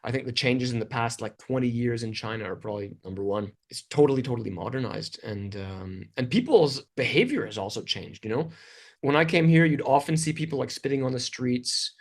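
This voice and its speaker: A man's voice: medium pitch, expressive, a little fast. A middle-aged man from Canada, an interview guest, talking casually and rambling with fillers and restarts. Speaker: interview guest, English teacher.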